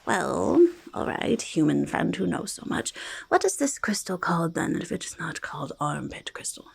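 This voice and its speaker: A woman voicing a quirky, stubborn goblin who loves shiny treasures, in a halting, breathy, creaky ASMR whisper, speaking in third person. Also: fairly low pitch, big pitch swings, exaggerated voice. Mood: stubborn bickering. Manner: halting, childlike third-person speech, easily argumentative. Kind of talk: soft-spoken ASMR roleplay, mostly whispered.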